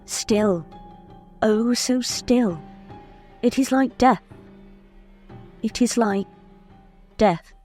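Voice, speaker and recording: A woman's voice: a little high, very slow, long pauses, faint music behind. A woman playing an eerie, passive young woman speaking dreamily from a hypnotic trance in a radio drama. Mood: entranced. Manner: dreamy, hushed incantation in short phrases. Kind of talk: old-time radio drama acting.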